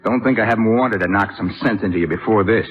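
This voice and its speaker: An actor playing an earnest, troubled young jazz musician in a 1950s radio drama, halting and pleading. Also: male voice, fairly low pitch, slight background noise, close to an everyday voice. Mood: insistent, frustrated. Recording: muffled old 1950s radio broadcast.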